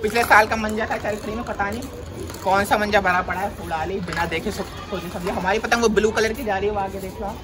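A man's voice: high-pitched, steady tone, fast talker. A man, chatting casually and animatedly to viewers as a vlogger, often repeating his phrases. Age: 20s. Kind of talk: casual vlog chat and running commentary. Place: open urban rooftop, outdoors. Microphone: phone camera, near to a few metres away. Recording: noisy outdoor phone recording with people around. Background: outdoor city noise, voices.

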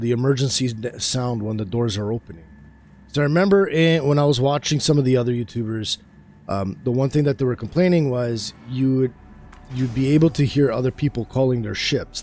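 A man casually chatting while playing a space game, easily excited and talkative. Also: medium pitch, a little fast, slight background noise. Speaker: gaming channel host. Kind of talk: casual gameplay chat.

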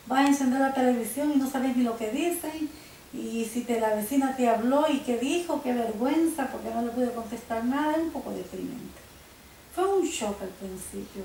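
A woman in her sixties from El Salvador with a Salvadoran Spanish accent, recounting her life in a living room interview, reflective and halting, often repeating her starts. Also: fairly high pitch, mellow. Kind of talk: personal life-story interview. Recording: roomy home video with faint hiss.

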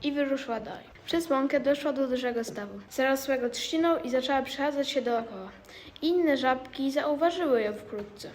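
A woman's voice: high-pitched, normal speed. A girl of about twelve reading scripted storybook narration. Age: child, around twelve. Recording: clearly noisy amateur recording.

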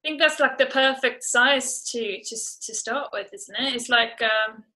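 A woman's voice: on the high side, unhurried, bright, forceful tone. A young woman in a band, chatting casually in an interview over a video call from home. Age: young adult. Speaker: band member being interviewed. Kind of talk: casual chat. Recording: video call, music underneath.

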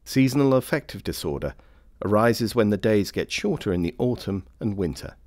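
A man reading scripted informational narration for a training film in a measured, formal manner. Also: lowish voice, big pitch swings, darkish tone, no room sound, studio quality. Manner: measured, formal, clearly phrased.

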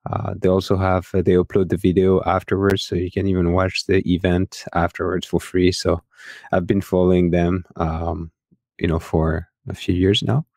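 Deep-voiced man co-hosting a podcast. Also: flat delivery, moderate pace, darkish tone, no echo. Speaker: podcast co-host. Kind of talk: casual tech podcast conversation.

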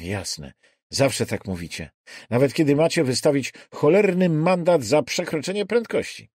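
A man's voice: a little high, expressive, normal speed, darkish tone, husky, no echo, studio quality, pitched above the performer's own voice. A man voicing a nervous, testy man who is suspicious of a caller in a thriller audiobook. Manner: wary, testy. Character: nervous, suspicious man guarding his door.

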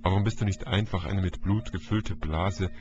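A man's voice: deep voice, normal speed, lightly acted. A man voicing an exultant, raving male murderer who gloats in a declamatory way for an audiobook. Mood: blissful gloating. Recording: dull lo-fi audio, music behind.

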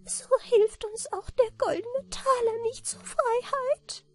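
A woman voicing a tiny old little man from a fairy tale, with a thin, squeaky, plaintive voice. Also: slow pace, a little mellow. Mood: sad.

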